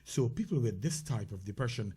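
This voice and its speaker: A man's voice: mid-range voice, lively intonation, a little fast, warm tone, slightly rough. A man with a Caribbean accent co-hosting a casual health talk show, speaking conversationally with many fillers and restarts. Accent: Caribbean English.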